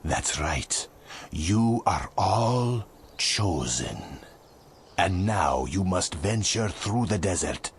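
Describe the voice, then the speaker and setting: A man's voice: deep voice, a little hiss or hum, full character voice. A man playing a grave, commanding mentor in a video game cutscene, solemn and declamatory as he directs chosen heroes. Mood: solemn, rallying.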